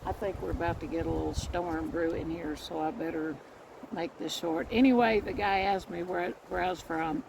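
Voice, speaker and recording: A woman's voice: on the low side, steady tone, moderate pace, creaky, background noise. A middle-aged woman with a Southern accent, telling a rambling story to the camera in her vlog and restarting her sentences often. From Mississippi and Indiana. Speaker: vlogger. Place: outdoors, storm coming.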